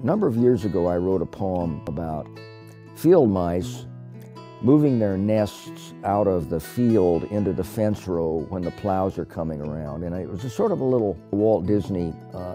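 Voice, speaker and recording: Older man, low voice over guitar. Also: mellow. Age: 70s. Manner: reflective, unhurried storytelling. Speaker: poet. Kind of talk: documentary interview. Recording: music playing under the voice. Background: guitar music.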